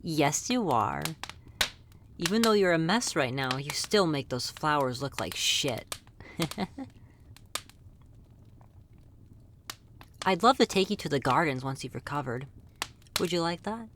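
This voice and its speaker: A woman voicing a lonely, centuries-old female vampire in an intimate ASMR roleplay, soft-spoken and confiding, speaking fondly and wistfully to the listener. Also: deep voice, expressive, moderate pace, slight background noise. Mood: fond, teasing.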